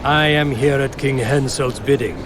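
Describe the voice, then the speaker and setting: A solemn ambassador from a fantasy game , voiced by a man. His formal, insistent delivery is markedly slow, at a middling pitch. Ambient noise is clearly audible. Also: steady tone, natural voice. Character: solemn, insistent foreign ambassador at a royal council. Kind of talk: dramatic game acting.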